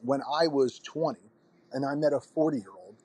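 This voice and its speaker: A man in his forties, a fitness coach hosting a podcast, talking in an energetic, profane, rambling way. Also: medium pitch, normal speed, husky. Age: 40s. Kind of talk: casual podcast conversation.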